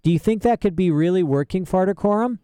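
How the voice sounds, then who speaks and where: A man's voice: high voice, fast, mellow, clear, resonant tone, no room sound, clean studio sound, pitched above the performer's own voice, lightly acted. A man doing an eager curious young girl. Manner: breathless, questioning, rustic grammar.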